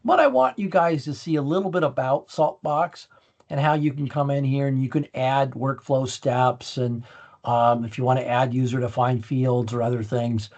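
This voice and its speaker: A man talks through a software demonstration in a casual, explanatory way. His voice has a noticeably creaky, fry-laden edge. He phrases things in a folksy, conversational manner, and the recording sounds slightly dull and muffled. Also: mid-range voice, moderate pace. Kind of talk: casual walkthrough of a software demo.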